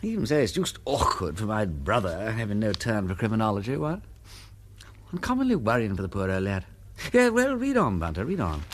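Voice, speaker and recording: A breezy, wildly lilting English aristocrat. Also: male voice, fairly low pitch, wildly swooping pitch, fairly quick, a little hiss or hum. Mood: dismayed but breezy. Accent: upper-class English. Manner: drawling, effusive, hesitant exclamations. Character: breezy, languid aristocratic gentleman detective. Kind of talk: radio drama acting.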